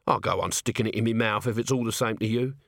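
A man's voice: fairly low pitch, fast, no room sound, studio quality. A man voicing a scruffy, dim, nervous corporal who talks in crude colloquial blurts, for a comic fantasy audiobook. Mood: cheeky, unimpressed. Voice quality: wheezy. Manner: colloquial, dropped standard grammar, blurting.

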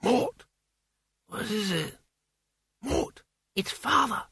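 Audiobook role: worried teenage girl, read by a man, raised pitch, tight urgent delivery. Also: sing-song, moderate pace, a bit bright, husky, no room sound, studio quality, heavily acted. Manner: urgent, tight-voiced, fretting. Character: anxious, tearful, pleading teenage girl.

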